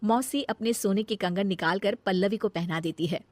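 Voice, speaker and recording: A woman's voice: mid-range voice, normal speed, darkish tone, dry recording. A woman storyteller reading scripted narration of a comic family story.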